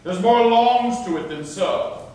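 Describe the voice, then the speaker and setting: A man's voice: sits high, expressive, takes it slowly, mellow. An actor playing a scornful, imperious governor in a classical verse stage reading, declaiming his commands. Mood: commanding. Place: stage of an auditorium, indoors. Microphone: distant from the audience area. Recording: echoey concert hall, far microphone.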